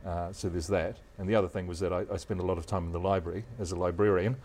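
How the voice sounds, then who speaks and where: A middle-aged man with a deep voice and a New Zealand accent, chatting as a guest in a relaxed, modest, measured way. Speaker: guest, news presenter. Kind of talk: relaxed interview chat.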